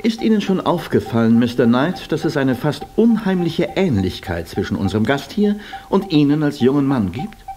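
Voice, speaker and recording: An actor playing a dutiful, eager assistant to a rich employer in a German radio play, polite, formal and insistent. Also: male voice, a little high, big pitch swings, moderate pace, warm tone, a little hiss or hum. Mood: musing.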